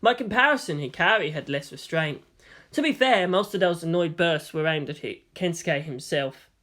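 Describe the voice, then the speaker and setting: A man's voice: on the high side, lively intonation, normal speed, pushed and bright. A man narrating a story from a script as a fan fiction reader.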